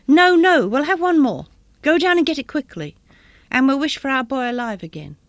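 A woman's voice: fairly high pitch, animated, fast talker, fairly bright tone, dry recording. A woman reading a story as a grieving, desperate old mother, crying out in a hysterical, insistent manner. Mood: desperate insistence.

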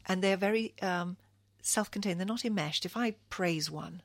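A woman's voice: lowish voice, moderate pace, no echo. A middle-aged woman from Kenya, speaking as a radio interview guest in an earnest, thoughtful way with frequent restarts.

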